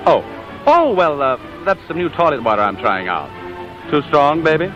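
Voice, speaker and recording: Brief: a breezy, slippery leading man, lively pitch, vintage radio drama, dull broadcast sound with music. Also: moderate pace. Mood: breezy, evasive. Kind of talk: 1940s radio acting.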